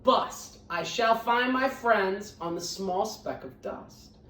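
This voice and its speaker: A woman voicing a gentle, earnest storybook elephant who pleads with emphatic devotion. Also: medium pitch, slow pace. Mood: determined. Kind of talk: storybook read-aloud. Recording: roomy echo, distant microphone.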